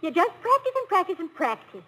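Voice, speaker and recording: An actress playing a poised, playful college president's wife in a 1950s radio comedy-drama, gracious and briskly instructive. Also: female voice, extremely high pitch, sing-song, pushed and bright, full character voice. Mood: brisk coaching. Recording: noisy old radio broadcast recording.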